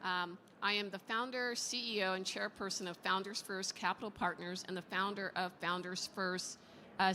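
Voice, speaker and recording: A woman's voice: medium pitch, pushed and bright, slight background noise. A woman speaking as a founder and investor on a conference panel, polished and upbeat. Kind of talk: panel discussion. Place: conference stage in a large hall. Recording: long hall reverb, faint ambience.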